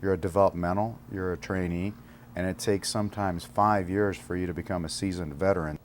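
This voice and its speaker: Male interviewee, union spokesman type, deep pitch, faint noise around him. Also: fairly even intonation, fairly quick. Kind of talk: interview answers. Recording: noticeable ambient background noise.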